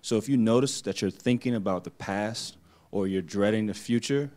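A man's voice: on the low side, normal speed, darkish tone. A man in a church group earnestly explaining a spiritual idea to the others. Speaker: congregant.

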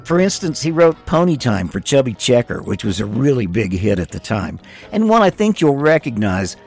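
A man presents a scripted music-history show as its host. His mid-pitched voice moves around animatedly, with a wry, playful and wordy delivery. Music plays faintly behind him. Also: very animated, normal speed, darkish tone. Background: music under the voice.

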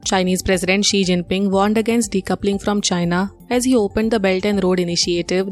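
A woman's voice: mid-range voice, a little mellow. Indian-accented woman reading news over music. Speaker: news presenter.

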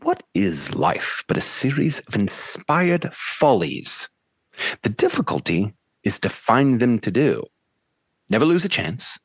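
A woman voicing a pompous male professor who barks orders and lectures grandly. The pitch leaps wildly between lines, and the recording is dry and close. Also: huge pitch swings, slow, slightly rough, dry recording, heavily acted. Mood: grandiose. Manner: imperious, brusque, theatrical. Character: arrogant upper-class male phonetics professor. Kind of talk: amateur play reading.

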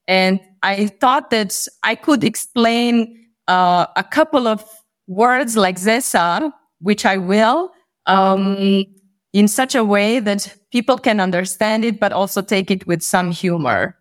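A woman from Bosnia with a mid-pitched voice talks as a guest in a relaxed interview format. She is reflective and feels her way through answers, pausing and rewording as she explains. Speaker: podcast guest.

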